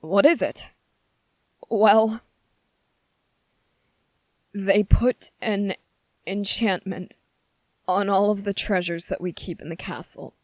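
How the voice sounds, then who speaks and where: A woman voicing a gentle, anxious young prince in a fantasy ASMR roleplay, speaking politely and formally with hesitant, halting phrasing. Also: mid-range voice, no echo, lightly acted. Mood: grave, reluctant. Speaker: voice actor in audio roleplay. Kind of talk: ASMR character roleplay, scripted fantasy monologue.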